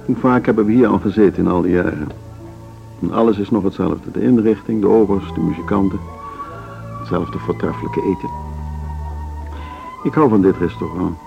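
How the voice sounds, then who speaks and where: Gravelly, genteel older gentleman. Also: low voice, moderate pace, pauses often. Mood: genial, nostalgic. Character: genteel older male board member, urbane, cautious, smoothly steering. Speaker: actor in radio play. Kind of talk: Dutch radio drama dialogue. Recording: dull radio recording with music behind. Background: music.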